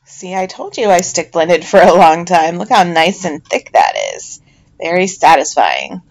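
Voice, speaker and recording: A woman's voice: fairly low pitch, sing-song, measured pace. A middle-aged woman with a chatty, self-deprecating manner, giving a casual voiceover narration as a home soap maker.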